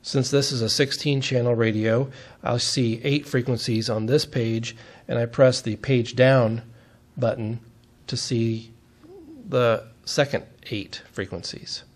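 A man's voice: mid-range voice, fairly even intonation, a little slow. A man giving a step-by-step technical demonstration in a methodical, plain-spoken way, as an instructor would.

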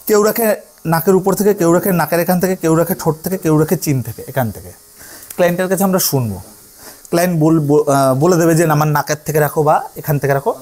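Animated, high-pitched man teaching. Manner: repeats phrases, often checks understanding. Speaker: hairdressing instructor. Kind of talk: hands-on tutorial to trainees.

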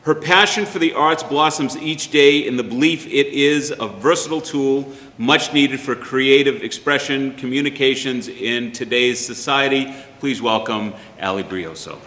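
A man's voice: medium pitch, normal speed, hardly any pauses, sharp tone. An older man hosting a library poetry event, formally reading a speaker's introduction at a podium microphone. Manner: formal, announcing. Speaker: event host. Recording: echoey room, long reverb.

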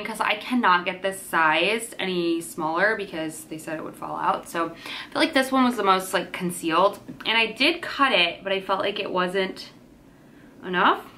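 Young woman vlogging at home, bright chatty voice, says like a lot, bit of room hiss. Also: medium pitch. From western New York. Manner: bubbly and rambling, lots of "like" and "um". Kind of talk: casual chatty vlog. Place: kitchen and bedroom at home.

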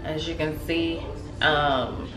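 A woman in her thirties casually talking through a recipe in her home kitchen, chatty and relaxed, with lots of ums and likes. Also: low-pitched, slow pace, music in the background. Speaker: home cook vlogger. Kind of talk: casual cooking demonstration. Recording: echoey kitchen with background noise. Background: TV playing in the background.

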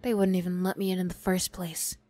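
A woman voicing a wounded, bitter young bully girl, defensive and vulnerable, in a halting ASMR roleplay style. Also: on the low side, level tone, warm tone, dry recording, lightly acted. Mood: angry, flustered. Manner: halting, swearing, emotional, slow delivery.

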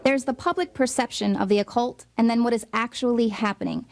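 A woman's voice: a little high, brisk. A woman reading a scripted voice-over narration in a dramatic, insinuating way.

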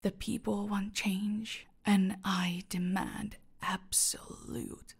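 Slow, breathy, seductive cartel villainess acted by a woman. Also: mid-range voice, level tone, full character voice. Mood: calculating menace. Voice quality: breathy, often dropping to a whisper. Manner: slow, menacing, haughty, purring endearments. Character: seductive, domineering female cartel boss villain. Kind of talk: scripted roleplay audio acting.

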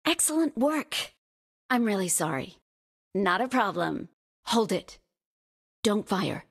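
A woman's voice: mid-range voice, sing-song, slow pace, gravelly, slightly rough, heavily acted. A woman voicing a female battle-royale squadmate in a shooter game, giving short, clipped tactical callouts. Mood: calm, assured. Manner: clipped, isolated command phrases. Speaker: game voice-pack actor.

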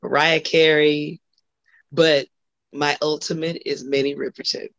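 A middle-aged woman from Los Angeles with a husky voice, talking as a guest singer on a video call. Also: sits low, flat delivery, unhurried, a bit bright, no room sound. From Los Angeles, USA. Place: home room indoors. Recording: dull lo-fi video call audio.

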